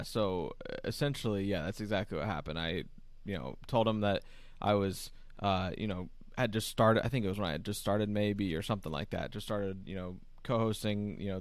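Laid-back low-voiced male co-host. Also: no room sound. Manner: relaxed, many fillers like "you know". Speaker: podcast co-host. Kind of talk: casual podcast chat.

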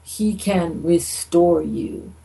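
A woman hosting a radio show as a minister, talking conversationally about faith in a deliberate way with frequent pauses. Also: fairly low pitch, creaky, warm tone, breathy edge, low-quality recording. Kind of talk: conversational devotional talk.

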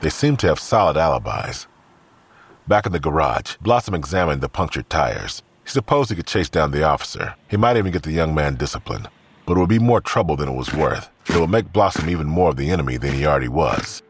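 A man's voice: a bass voice, lively intonation, fairly quick, husky. A man reading scripted narration for an audio drama.

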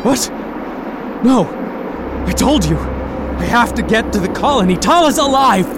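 An actor playing a stubborn, lovesick space traveller in an audio drama, with an emotional, halting delivery broken by sighs. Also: male voice, very high-pitched, expressive, heavy background noise, full character voice. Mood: frantic, defiant. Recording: loud music and effects behind.

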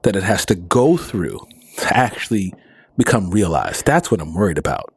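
Animated man riffing with a lively voice. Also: low-pitched, normal speed, slightly rough, no echo. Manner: animated, questioning, laughs often. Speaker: podcast co-host. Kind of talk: casual pop culture chat.

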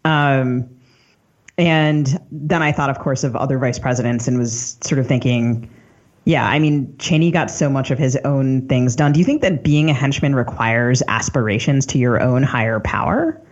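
A man's voice: mid-range voice, moderate pace, highs cut off. A man co-hosting a casual discussion, reflectively thinking aloud and putting questions to guests.

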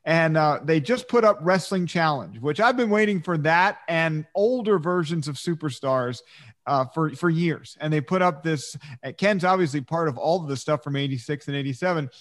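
A man with a slightly high voice chats casually as a podcast co-host. He drops quick joking asides and plugs between stories.

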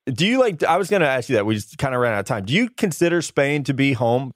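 A man hosting a podcast interview, talking in a relaxed, chatty way with quick asides. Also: medium pitch, dry recording, studio quality. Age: adult, older than a college player. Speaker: podcast host. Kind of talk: casual interview chat.

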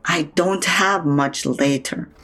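A woman's voice: on the low side, slow, a bit bright, slight background noise. A woman playing a blunt, sardonic older mother in an audio drama, with a frank, dry way of talking. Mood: wry, frank. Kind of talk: naturalistic audio drama acting.

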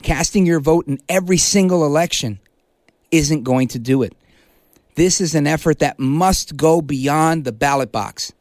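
Emphatic talk radio host, dry studio. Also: male voice, a little high, darkish tone, no room sound. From Brooklyn, New York, United States. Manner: emphatic, punchy, repeats key words with slow stress. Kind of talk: political talk radio monologue. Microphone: studio microphone.

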